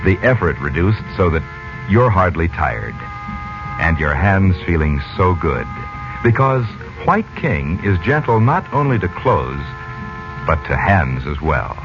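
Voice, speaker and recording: A man announcing a 1940s-style radio serial and sponsor pitch in a folksy, persuasive way. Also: big pitch swings, measured pace. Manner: folksy, persuasive, rhetorical questions. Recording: dull lo-fi old radio, music behind. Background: music.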